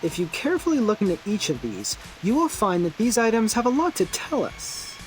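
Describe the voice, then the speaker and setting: A man's voice: high voice, huge pitch swings, brisk, faint music behind, low-quality recording. An actor playing an eccentric, theatrical young man who loves horror, in a video game. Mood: smug, playful. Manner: theatrical, teasing, grandiose. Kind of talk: English video game character acting.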